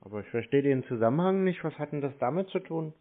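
A man's voice: a little high, animated, fast. Naive-sounding man on a tinny phone line. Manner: feigned naive, questioning. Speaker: prank caller baiting phone scammers. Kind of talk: casual phone conversation.

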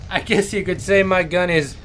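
Breezy, flippant young soldier voiced by a man, high pitch, confident, audio comedy with background noise. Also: steady tone, measured pace, fairly bright tone. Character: breezy, cheerful, flippant male army private. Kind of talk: comedic radio-play acting.

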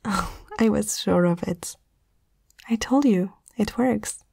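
A woman whispering softly and tenderly in a breathy voice, in a reassuring ASMR comfort roleplay, close to the microphone. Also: medium pitch, slow pace, no echo.

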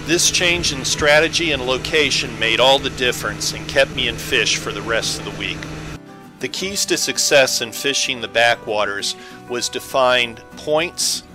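Bright-voiced man narrating over guitar music. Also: mid-range voice, a little slow. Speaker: angler narrating his fishing trip.

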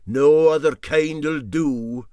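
A man voicing a sly, teasing old boat skipper with a Scottish Highland accent and a drawn-out, mock-sympathetic manner in a storybook reading. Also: a little mellow, dry recording, clean studio sound. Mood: sly mock sympathy.

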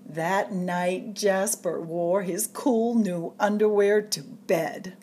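A woman reading a picture book aloud to children in an expressive, dramatic storyteller's way, drawing out suspenseful phrases. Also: medium pitch, slow, husky. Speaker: storytime reader at a public library.

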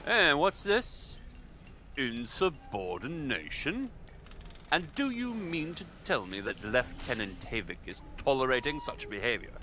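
An actor playing a sadistic Imperial overseer in a sci-fi audio drama, imperious and theatrical, with slow deliberate emphasis. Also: male voice, medium pitch, sing-song, sharp tone, slight background noise. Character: sadistic, menacing male Imperial overseer commanding a slave camp.

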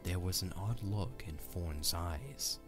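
A man's voice: sits low, slow pace, a bit bright. A man narrating a scripted story for an audio drama. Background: music.

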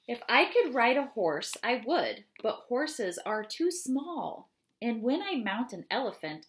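A woman in her thirties reading children's poems aloud in an expressive, deliberate way, with chatty asides. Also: a little high. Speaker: read-aloud host. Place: small home room, indoors.

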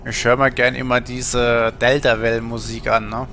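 A man's voice: medium pitch, level tone, normal speed, fairly bright tone, faint music behind. A middle-aged man chatting casually and musingly on a gaming livestream from home, in colloquial speech. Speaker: game livestreamer. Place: indoor home streaming setup.

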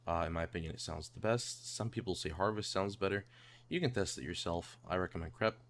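A man narrating a casual software tutorial in a hesitant, rambling way, repeating himself. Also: low voice, fairly quick. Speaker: tutorial presenter. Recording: home mic with clear background noise.

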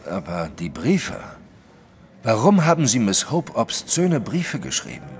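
An actor playing a big, brusque, skeptical detective in an audio drama, questioning in a dry, commanding way. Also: male voice, mid-range voice, very animated, unhurried. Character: huge, brusque, skeptical French male detective. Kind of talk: German audio drama acting.

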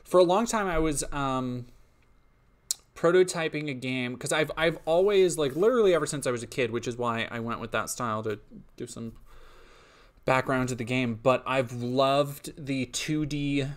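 A man's voice: mid-range voice, normal speed. A young man chatting casually on a stream, answering chat, rambling with frequent ums and restarts, a little sniffly and sleepy. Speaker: streamer and indie game developer.

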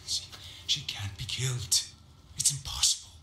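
A grave, sharp-voiced wary survivor. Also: male voice, medium pitch, a little hiss or hum. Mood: hushed warning. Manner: grave, deliberate storytelling. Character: wary, grave male survivor recounting horrors of giants. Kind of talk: dramatic video game acting. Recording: echoing space, faint music.

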